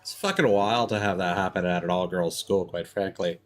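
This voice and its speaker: A man with a fairly low voice talks casually over game music as he streams. He rambles, backs up to correct himself and swears freely. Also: normal speed.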